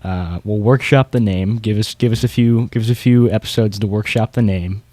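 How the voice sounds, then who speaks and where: A man's voice: lowish voice, fairly even intonation, normal speed, warm tone, no echo. A man co-hosting a podcast, giving casual hobbyist commentary in a conversational way. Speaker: podcast co-host.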